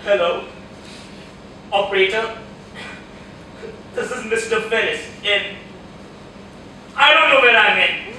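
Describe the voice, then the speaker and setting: A man's voice: high voice, expressive, slow pace, long pauses, pushed and bright, full character voice. A young man acting a short-tempered, exasperated husband in a live stage play. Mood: pained, desperate. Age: young adult. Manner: exasperated, ranting, melodramatic. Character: frantic, short-tempered American husband, exasperated and self-pitying. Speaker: student stage actor. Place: auditorium stage. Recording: echoey noisy auditorium, recorded from far off.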